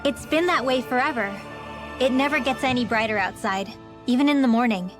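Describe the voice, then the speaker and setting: Actress as guarded young woman, high voice. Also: a little fast, natural voice. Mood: explaining. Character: guarded young village woman with secret powers. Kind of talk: video game cutscene acting. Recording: music behind.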